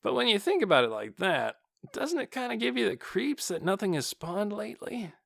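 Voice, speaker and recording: A chatty male night guard, voiced by a man reading an audiobook, gripes in a laid-back, colloquial way. His pitch moves around a lot, and the sound is dry and close. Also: sing-song, normal speed, vocal fry, fairly bright tone, no room sound, full character voice. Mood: musing. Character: casual, chatty male night-watch guards, uneasy but joking. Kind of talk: audiobook character dialogue.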